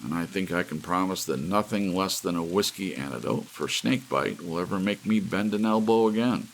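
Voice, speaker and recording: A man voicing an excitable druggist fighting despair, earnest and exclamatory, in an audiobook story. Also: deep voice, moderate pace, vocal fry, a little hiss or hum, low-quality recording. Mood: fervent gratitude.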